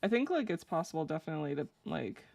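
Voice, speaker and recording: A young woman with a low voice chatting casually and rambling with lots of fillers while she draws on a live stream. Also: big pitch swings, fast talker, vocal fry, a little mellow. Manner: rambling, filler-heavy, laughs easily. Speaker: streamer drawing fanart.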